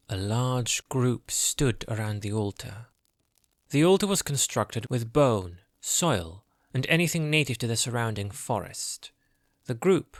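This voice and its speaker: A man narrating a scripted fantasy audio drama in a measured storytelling manner. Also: lowish voice, no echo, clean studio sound.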